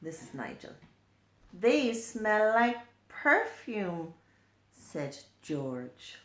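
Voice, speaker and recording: A woman's voice: medium pitch, big pitch swings, extremely slow, a bit bright. A woman reading a picture book aloud to young children, explaining and pointing things out as she goes. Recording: echoey room.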